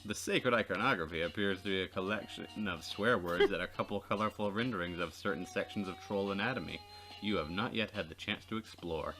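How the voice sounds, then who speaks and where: A man's voice: low voice, a little fast, over music. A man co-hosting a let's play, reading game text aloud and chatting casually with dry, joking asides. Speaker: let's play co-host and reader.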